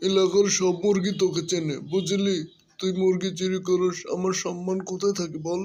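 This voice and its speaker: A man's voice: sits high, level tone, moderate pace, a little mellow, slight background noise, pitched above the performer's own voice, close to an everyday voice. A man voicing a proud, exasperated elder fox brother in a cartoon, scolding and lamenting. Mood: angry scolding. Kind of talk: cartoon voice acting.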